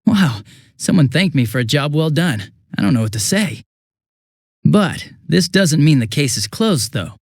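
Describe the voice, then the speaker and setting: Bumbling young American detective, very animated. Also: male voice, medium pitch, no room sound, studio quality. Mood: friendly, goofy. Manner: sheepish, stammering, blabbing, casual. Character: bumbling, chatty young male police detective, goofy and nervous. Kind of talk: English video game dub voice acting.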